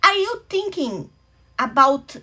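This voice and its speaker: A woman's voice: very high voice, sing-song, takes it slowly, pushed and bright. A woman from Brazil with a Brazilian Portuguese accent, a native Portuguese speaker hosting a motivational talk, earnest and welcoming, sometimes searching for English words. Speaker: host.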